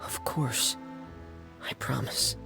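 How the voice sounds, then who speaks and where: An actor voicing a reserved young man who speaks softly and a little hesitantly. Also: slow, over music, close to an everyday voice. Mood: earnest. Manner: soft-spoken, hesitant.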